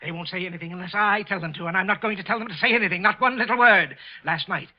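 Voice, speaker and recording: An old, dull radio recording of a man playing a crazed killer with a high voice. He is possessive and obsessive, circling the same phrases as his muttering climbs toward shrill frenzy. It is full-blown vintage thriller melodrama. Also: rapid-fire, close to an everyday voice. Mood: gloating, frantic. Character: deranged murderer clerk, gibbering, possessive of imagined voices. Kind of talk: 1940s radio thriller acting.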